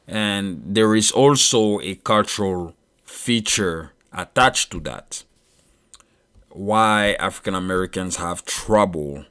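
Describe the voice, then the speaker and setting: A man in his thirties from Ivory Coast, French his first language, speaking in an Ivorian French accent, giving a measured solo monologue with frequent fillers and restarts. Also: deep voice, fairly bright tone, smooth. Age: 30s. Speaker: commentator.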